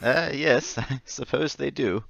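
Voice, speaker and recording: A man's voice: medium pitch, unhurried, creaky, a bit bright, dry recording, lo-fi sound. A man playing a nervous, befuddled older war veteran in a radio play, stammering and hesitant. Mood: uneasy. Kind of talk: old-time radio play acting.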